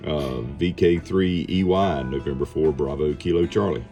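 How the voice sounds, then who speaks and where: A man's voice: very deep voice, normal speed, over music. A man chatting casually over amateur radio in a laid-back, folksy manner. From southeast Georgia, United States. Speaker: amateur radio operator and TV survival personality.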